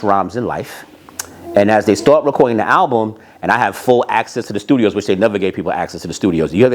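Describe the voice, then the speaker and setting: Middle-aged American man, low voice, casual storyteller who leans on key phrases by repeating them. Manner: emphatic, repeats phrases for emphasis. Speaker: host, former record label A&R representative.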